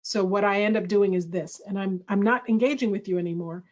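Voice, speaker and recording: A woman's voice: medium pitch, fairly quick, darkish tone. Reflective woman leading a workshop talk. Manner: thoughtful, reflective, self-correcting. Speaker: workshop host. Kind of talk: presentation dialogue. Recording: slightly muffled audio.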